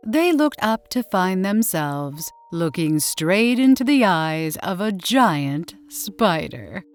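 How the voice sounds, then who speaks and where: A woman narrating in a dramatic, theatrical old-time radio horror style with deliberate delivery. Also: medium pitch, darkish tone. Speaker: story narrator and channel host. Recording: roomy echo, faint music behind.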